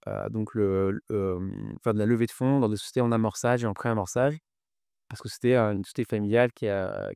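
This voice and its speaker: A man in his thirties from New Caledonia answering interview questions conversationally, often pausing on fillers and repeating words. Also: lowish voice, darkish tone, clean tone, no echo, studio quality. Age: 30s. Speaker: podcast guest, finance executive.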